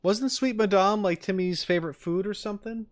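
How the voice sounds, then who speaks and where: A man reacting casually on a livestream, wry and halting, often repeating his phrases. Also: high voice. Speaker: streamer reacting to game audio.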